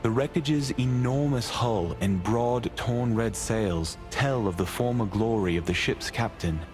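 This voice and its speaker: A man's voice: medium pitch, measured pace, lightly acted. A man playing a solemn storyteller, narrating fantasy lore for a video game. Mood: solemn, measured. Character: solemn storyteller recounting a fantasy land's history. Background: faint music.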